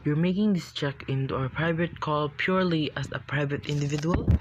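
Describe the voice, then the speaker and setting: An actress playing a chief telephone operator in a radio play, formal, procedural and unmoved. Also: female voice, low voice, fairly quick, muffled recording, background noise, lightly acted. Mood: cool, official.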